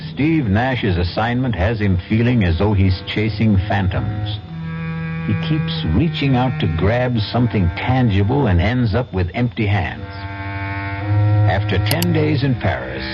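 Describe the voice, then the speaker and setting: A man narrates a radio mystery in a low, highly expressive voice. Loud music plays behind him on a muffled recording. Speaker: radio drama host narrator.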